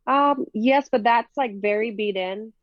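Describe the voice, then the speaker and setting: A woman's voice: on the high side, a little slow, no room sound, muffled recording. A woman from Minnesota with a Minnesota accent, chatting casually in an interview with many fillers and easy laughs. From Minnesota, USA. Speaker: professional disc golfer, guest.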